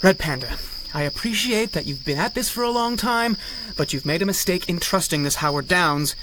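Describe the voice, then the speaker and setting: High-voiced, indignant rookie rocket hero. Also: male voice, expressive, normal speed, background noise. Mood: skeptical, exasperated. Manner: earnest, pompous, exasperated. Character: brash, indignant young male rocket-pack hero, green and stuffy. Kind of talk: 1930s-style radio adventure acting.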